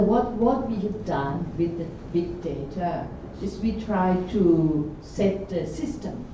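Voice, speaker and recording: A woman's voice: mid-range voice, warm tone, a little hiss or hum. A woman panelist at a conference discussion who explains at length, often checking in with "right?". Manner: rambling explanation that keeps asking "right?". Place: conference room. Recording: echoey, dull lecture-hall sound from a distant mic.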